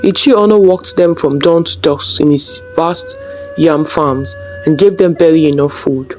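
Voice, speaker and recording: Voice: medium pitch, normal speed, soft background music. A storyteller reading a scripted folktale as its narrator.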